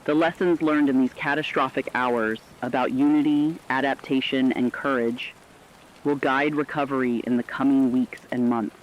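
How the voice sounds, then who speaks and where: A man acts as an earnest news co-anchor in an apocalyptic radio drama. He has a mid-pitched voice and a grave, measured delivery, heard through dull lo-fi sound with faint rain noise behind. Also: fairly even intonation, close to an everyday voice. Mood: earnest hope. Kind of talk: scripted live radio news narration.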